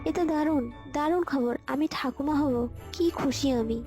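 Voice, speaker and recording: A woman's voice: sits high, normal speed, lightly acted. A woman voicing an elderly, doting but easily alarmed mother-in-law in a scripted cartoon. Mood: delighted, doting. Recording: loud background music. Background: music.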